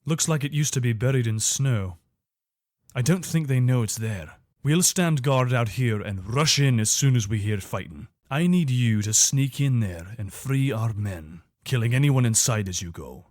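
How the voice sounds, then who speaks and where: A man's voice: medium pitch, animated, normal speed, darkish tone, no echo, studio quality, natural voice. A man playing a loyal, wary soldier of an imperial legion in a video game, speaking in an earnest, soldierly manner. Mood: earnest, steady. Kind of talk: video game character voice lines.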